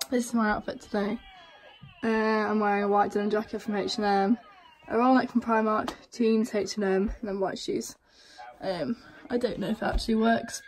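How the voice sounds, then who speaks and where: A woman's voice: mid-range voice, rough recording. A teenage woman with a Yorkshire accent, chatting casually to a phone at arm's length in her bedroom about her day. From England. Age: teens. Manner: chatty, soft-spoken, filler-heavy. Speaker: vlogger. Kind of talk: casual vlog narration. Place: bedroom at home, indoors. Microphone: phone held at arm's length.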